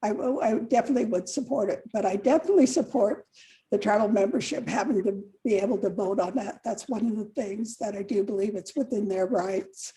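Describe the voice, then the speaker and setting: Woman candidate, soft-spoken and mellow, on a video call, hesitant with restarts. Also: medium pitch, a little fast, rough recording. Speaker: election candidate. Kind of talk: candidate forum answer.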